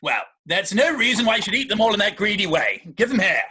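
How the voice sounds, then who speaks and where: Seeking a male actor for an indignant young gentleman in period stage comedy, high-pitched and forceful. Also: big pitch swings, quick pace, slightly rough, heavily acted. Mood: exasperated. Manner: emphatic, indignant, formal diction. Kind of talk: rehearsed reading of a Victorian stage comedy.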